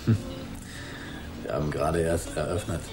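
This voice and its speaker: Music plays behind a polite bartender in a radio drama. His voice is low, his manner chatty and easygoing. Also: steady tone, normal speed. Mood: courteous, chatty. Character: polite, chatty male bartender of a new bar. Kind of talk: radio play dialogue.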